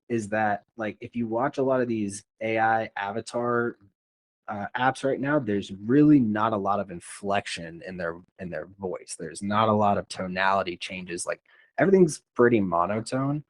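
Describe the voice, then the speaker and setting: Man, podcast host, lowish pitch, casual interview chat, conversational, dry sound. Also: moderate pace, no echo.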